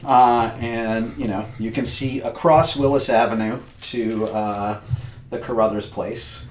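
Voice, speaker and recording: An American man improvising tabletop game narration as game master, halting and thinking aloud. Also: mid-range voice, steady tone. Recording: roomy echo, faint noise.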